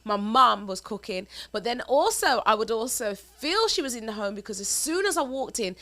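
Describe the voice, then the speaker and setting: A woman's voice: on the high side, moderate pace. A woman in her forties from England, talking expressively with her husband and telling stories. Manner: chatty, expressive storytelling. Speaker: co-host. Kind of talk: casual conversation with her husband.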